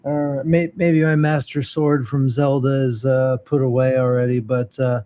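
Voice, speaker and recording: A man's voice: mid-range voice, little pitch movement, a little slow, warm tone. A middle-aged man in casual geeky chat, enthusiastic, rambling and self-deprecating as he co-hosts a show. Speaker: co-host of a morning show.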